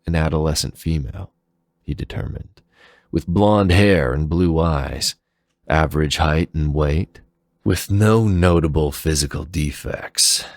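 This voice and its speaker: Male narrator for dramatic fan fiction: creaky, close dry sound, deliberate and measured. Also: a bass voice, no room sound.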